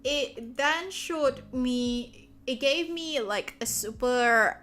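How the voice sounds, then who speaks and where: Malaysian woman streamer, a touch high, loose rambling chat full of fillers. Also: extremely slow, fairly bright tone, faint music behind. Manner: rambling, many fillers and restarts. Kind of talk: casual chat.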